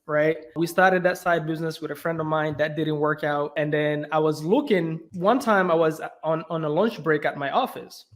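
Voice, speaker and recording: Animated higher-voiced man from Belgium. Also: moderate pace, hardly any pauses. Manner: animated storyteller, many fillers like "you know" and "right". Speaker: guest. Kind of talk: casual interview talk.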